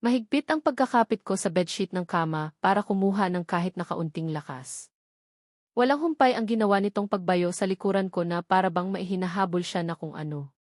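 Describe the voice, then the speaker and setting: A woman's voice: fairly low pitch, a little fast, a little mellow, dry recording, clean studio sound. A woman reading scripted first-person narration from a romance novel. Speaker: audiobook narrator.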